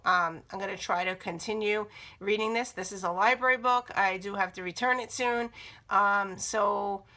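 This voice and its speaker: A middle-aged woman talks casually to camera about her reading and journals, her voice slightly raspy from a cold. Her tone is bright and forward. She rambles, with frequent ums and restarts. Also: medium pitch. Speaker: book vlogger. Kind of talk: casual chat showing items to camera.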